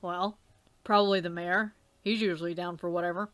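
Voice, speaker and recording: A woman voicing a laid-back teenage boy who talks in a deadpan, unbothered way. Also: fairly low pitch, fairly quick, voice pushed down, natural voice.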